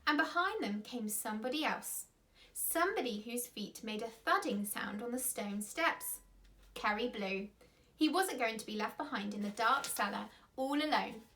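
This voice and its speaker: A woman's voice: a little high, bright, forceful tone. A woman reading a children's story aloud expressively, with dramatic emphasis. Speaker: storyteller, school reader.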